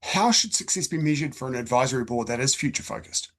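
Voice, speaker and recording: A man hosting an interview, conversational and measured, setting out points and posing questions. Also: medium pitch, lively intonation. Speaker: interview host.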